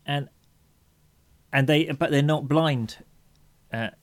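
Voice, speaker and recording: A man's voice: fairly high pitch, takes it slowly, dry recording. A man co-hosting an informal, reflective discussion, feeling his way through sentences and often restarting them. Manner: hesitant, searching for words, repeating sentence openings. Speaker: podcast co-host.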